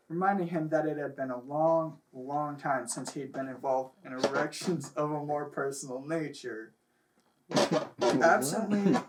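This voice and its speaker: A man with a slightly high voice reads comic fiction aloud like a storyteller, tripping over the odd word. It was picked up by a camera microphone, with some faint noise behind him. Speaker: host reading stories aloud. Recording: noisy distant camera microphone.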